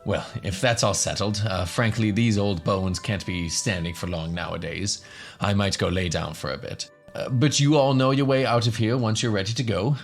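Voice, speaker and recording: A man's voice: on the low side, fairly even intonation, moderate pace. A man voicing a tired, kindly old professor with a polite, hesitant manner in a fantasy radio drama. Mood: tired, encouraging.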